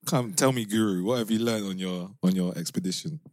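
A man's voice: deep voice, a little fast, a little mellow, dry recording. A young man, co-hosting a casual podcast chat in a laid-back, teasing way. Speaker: podcast co-host. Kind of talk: casual chat among friends.

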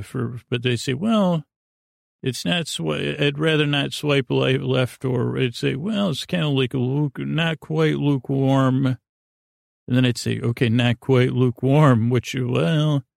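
Rambling man with a gravelly, creaky voice. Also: mid-range voice, no echo, studio quality. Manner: meandering, halting, digressive, repeats himself. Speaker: podcast host. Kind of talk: rambling comedic bedtime monologue.

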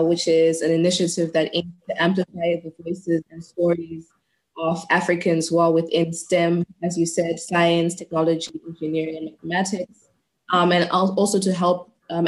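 A woman's voice: lowish voice, darkish tone, rough recording. A woman in her twenties from Zimbabwe, a PhD researcher speaking as an interview guest in a reflective, articulate way. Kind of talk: interview over a call.

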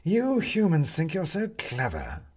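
A man acts out a sly, supercilious tomcat from a storybook. The voice is lifted and swooping, drawling out its contempt for humans with slow disdain. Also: a little high, huge pitch swings, husky, pitched above the performer's own voice, heavily acted. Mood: smug disdain. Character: haughty male cat, sly, contemptuous of humans. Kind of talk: audiobook character voice.

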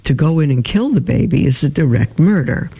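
A man hosting a religious advice show, reading listener emails aloud and answering them in a halting way with many pauses and fillers. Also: a little high, lively intonation, vocal fry, warm tone, husky.

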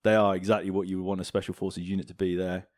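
A man from Hong Kong chatting casually as an interview guest, telling anecdotes in an animated way. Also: low-pitched, very fast, no echo, studio quality. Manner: animated, chuckles as he tells anecdotes. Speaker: interview guest, former fighter pilot. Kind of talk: casual storytelling in a podcast interview.

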